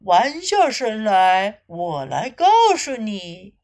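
A woman voicing a sly, menacing male crocodile in a storybook, with a drawn-out, deliberate delivery. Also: medium pitch, sing-song, a little mellow, voice pushed down.

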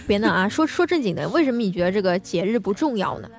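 A woman hosting a bantering radio chat show, playfully teasing and quick to laugh. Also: medium pitch, animated. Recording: music bed under the talk.

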